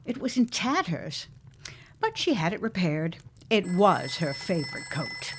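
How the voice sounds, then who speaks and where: A woman's voice: lowish voice, big pitch swings, a little slow, slightly rough, full character voice. An actress playing a woman who tells an eerie ghost tale in a grave, suspenseful, theatrical way for an audio drama.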